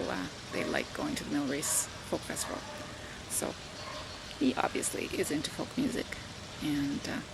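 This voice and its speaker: An older woman with a Southern Ontario Canadian accent talks loosely to camera, full of fillers and side tracks. Her voice sits a little low and carries a gravelly fry. The home recording has steady, clear background noise. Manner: rambling, many fillers and asides. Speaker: vlogger and teacher. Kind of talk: casual chat to camera.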